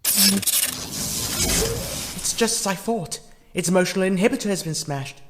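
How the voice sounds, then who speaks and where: A man acting a compassionate, hesitant character in a fan audio drama, earnest and halting in his delivery. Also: sits high, fairly bright tone, slight background noise. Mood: uneasy, reporting. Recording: long reverb, music underneath.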